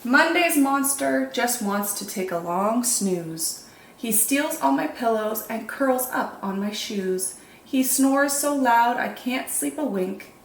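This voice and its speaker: Lady doing kids storytime, reads expressive n deliberate w dramatic drawn out words, roomy echo, bit of background noise. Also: fairly high pitch. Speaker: children's storytime host reading picture books. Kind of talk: expressive read-aloud storytelling for young children. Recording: echoey room, faint background noise.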